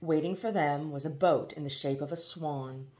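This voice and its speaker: A woman reading a novel aloud as expressive storybook narration. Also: low voice, moderate pace. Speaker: audiobook reader.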